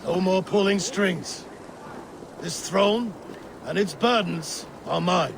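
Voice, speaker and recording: An old, sickly Viking king in a game drama, proud and suspicious. His voice is very gravelly and fairly high, with grandiose, deliberate delivery over a noisy background. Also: exaggerated voice. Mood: solemn, proud. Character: aging, ailing Viking king, proud and increasingly paranoid. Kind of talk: dramatic video game acting.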